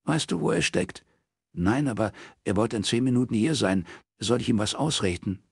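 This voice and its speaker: A man's voice: fairly low pitch, lively intonation, normal speed, slightly rough, dry recording, studio quality, voice pushed up. A man voicing a caring young woman in an audiobook, worried and urgent as she consoles a friend. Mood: concerned.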